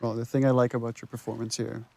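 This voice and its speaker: A man with a medium-pitched voice talks as a game director in a commentary track. He is conversational and analytical, working through his thoughts aloud. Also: flat delivery, fast, creaky, a little mellow, no echo. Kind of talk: unscripted cutscene commentary, conversational.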